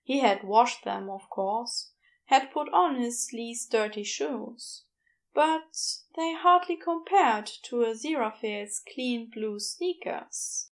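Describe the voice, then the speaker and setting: A woman narrates fiction in a high voice with a creaky edge. She reads the scripted prose slowly, as an audiobook narrator. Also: lively intonation.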